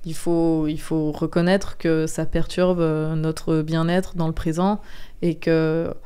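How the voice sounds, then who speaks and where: A woman gives a candid interview. Her voice is very clear and smooth, fairly low and soft-edged rather than bright. She explains things earnestly and insistently, with thoughtful pauses. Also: little pitch movement. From Belgium. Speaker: interview guest, comic book author. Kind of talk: candid podcast interview testimony.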